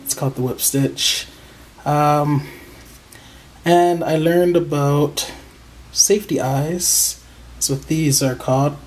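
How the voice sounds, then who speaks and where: Slow, halting crochet vlogger. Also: medium pitch. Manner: excited, proud, hesitant with many ums. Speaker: crochet channel host. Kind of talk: casual show-and-tell. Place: indoors at a table.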